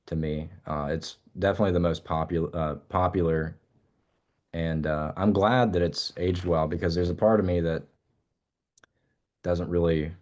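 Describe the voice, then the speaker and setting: A man's voice: low-pitched, a little mellow. A young man, a musician guest, chatting casually in an interview and rambling with many fillers and restarts.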